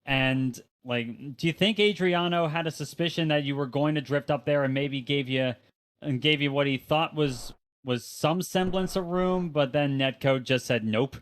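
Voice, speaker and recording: A man's voice: on the high side, moderate pace. A man co-commentating a race broadcast and asking long, rambling interview questions full of fillers. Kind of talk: sim racing broadcast commentary.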